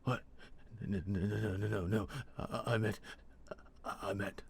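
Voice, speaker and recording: A man's voice: on the low side, brisk, gravelly, fairly bright tone, breathy edge, heavily acted. A man voicing a frantic wounded thug who is defiant yet begging, with coarse, slangy, broken speech in a fantasy story. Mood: panicked.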